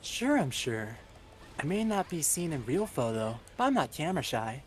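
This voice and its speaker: A performer voicing a friendly young female vampire, speaking softly from a distance. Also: medium pitch, sing-song, normal speed, faint music behind, close to an everyday voice. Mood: reassuring.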